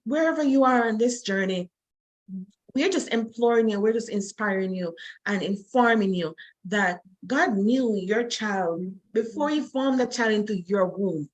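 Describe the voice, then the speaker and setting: A woman's voice: medium pitch, measured pace, rough recording. Woman host, fervent and repetitive, exhorting her audience in a faith-based interview.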